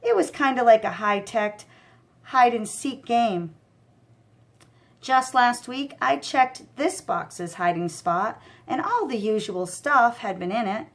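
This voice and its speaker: A woman's voice: a little high, animated, takes it slowly. Woman reading a children's adventure aloud. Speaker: storyteller. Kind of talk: expressive read-aloud narration of children's novel.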